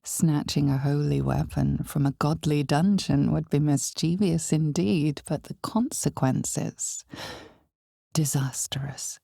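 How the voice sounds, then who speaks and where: A woman playing an ominous, knowing narrator in a dark fantasy video game, with a husky, gravelly voice and measured, hushed, theatrical delivery. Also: deep voice, no room sound, studio quality, full character voice. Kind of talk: dramatic scripted second-person fantasy game narration.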